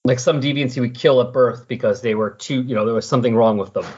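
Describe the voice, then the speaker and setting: A man with a medium-pitched, somewhat rough-edged voice chats informally, working through his thoughts as he goes. His delivery is loose and explanatory, like a fan podcast discussion. The recording is a little dull and lo-fi. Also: fast, a little mellow. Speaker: podcast co-host discussing comics. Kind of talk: casual, rambling comics discussion.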